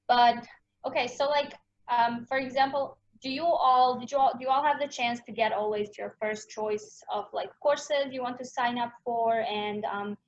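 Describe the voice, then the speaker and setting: Female panel moderator, fairly high voice, informal, somewhat dull lo-fi sound. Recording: dull, muffled lo-fi audio.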